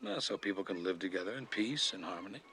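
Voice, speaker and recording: Patient sitcom father, affectionate, gently wry, swinging intonation, noisy old soundtrack. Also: sits low, expressive, fast, vocal fry, full character voice. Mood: thoughtful, wry. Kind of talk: naturalistic television sitcom acting.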